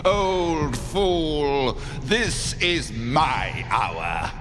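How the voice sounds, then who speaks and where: Villainous wraith lord: gloating and contemptuous, dragging every word with wildly varied pitch, radio fantasy. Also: male voice, very animated, heavily acted. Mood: gloating menace. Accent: British. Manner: drawn-out, booming, contemptuous. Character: undead dark lord, menacing, scornful, gloating. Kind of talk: British radio fantasy drama acting. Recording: radio drama with loud music behind.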